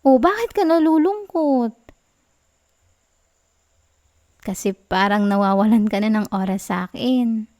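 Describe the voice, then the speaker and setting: Female actor for young Filipino girlfriend, ASMR roleplay. Slightly high pitch, soft, affectionate, pouty, pleading for attention. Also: animated, moderate pace, no room sound, clean studio sound, lightly acted. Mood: sulky, pouting. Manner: soft, whiny, affectionate pleading with sighs and giggles. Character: sweet, clingy young girlfriend, gently sulking for attention.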